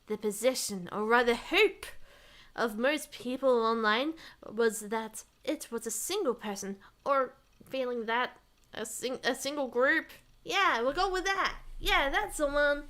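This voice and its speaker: A woman streamer reading a visual novel aloud, breaking off with animated, blurted reactions, her voice getting tired and worn. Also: high voice. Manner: animated, blurts out reactions between read lines.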